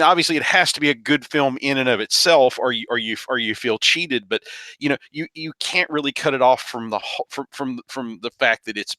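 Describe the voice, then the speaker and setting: A man's voice: medium pitch, sharp tone, no echo. A man on a podcast panel casually discussing films in a wry, jokey way, often repeating words as he builds a point.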